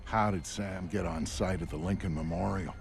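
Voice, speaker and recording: A blunt, streetwise man tells the tale of a covert mission in a video game. His voice is very low, his delivery informal and knowing, with music clearly behind him. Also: normal speed. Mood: knowing, wry. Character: blunt, streetwise male insider recounting a covert operation. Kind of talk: conversational storytelling narration in a video game.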